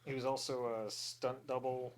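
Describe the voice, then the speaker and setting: Guy, middle aged, average pitch voice, just chatting on a podcast. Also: level tone, moderate pace. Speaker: podcast co-host. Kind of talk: casual chat.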